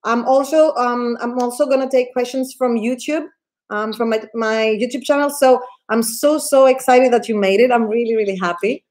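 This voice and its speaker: A woman's voice: a little high, fairly even intonation, normal speed, darkish tone. A woman hosting a live video interview, effusive and enthusiastic, often restarting her sentences. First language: Greek. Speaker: host of a live online interview. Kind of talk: casual livestream interview.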